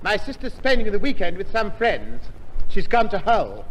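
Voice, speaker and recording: Fussy prim put-upon gent, high voice, polite, echoey old radio sitcom. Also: male voice, huge pitch swings, normal speed, fairly bright tone, full character voice. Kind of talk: 1950s radio sitcom acting. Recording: reverberant, faintly noisy 1950s radio recording.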